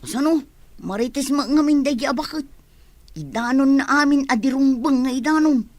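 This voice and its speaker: A woman's voice: sits high, moderate pace, no room sound, close to an everyday voice. A woman playing an earnest young student daughter in an Ilocano radio drama. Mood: matter-of-fact. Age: young adult.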